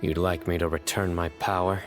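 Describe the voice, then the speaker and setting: A man playing a stoic, reserved young justice officer in video game dialogue, calm, terse and serious. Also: low voice, moderate pace, natural voice. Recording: music behind the voice.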